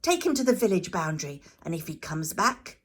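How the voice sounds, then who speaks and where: A stern storybook high priest, acted by a woman. He is haughty and imperious, with a husky edge and forceful, threatening commands that rise and fall sharply. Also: big pitch swings, fairly quick. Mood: angry, threatening.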